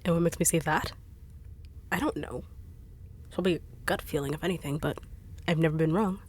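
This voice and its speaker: A woman's voice: sits low, slight background noise, natural voice. A woman voicing a blunt, sarcastic female bodyguard on a treasure hunt, in an audio roleplay drama. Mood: skeptical. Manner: fast-talking, no-nonsense, dry and teasing.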